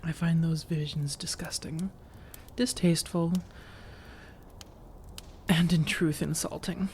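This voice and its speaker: Soft whispery vampire woman, mellow and coaxing acted by a woman. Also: low voice, fairly even intonation, a little hiss or hum. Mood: indignant, composed. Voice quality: soft, breathy, often whispered. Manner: measured, deliberate, formal, coaxing. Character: elegant, persuasive, long-lived female vampire seeking a roommate. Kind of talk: soft-spoken ASMR roleplay monologue.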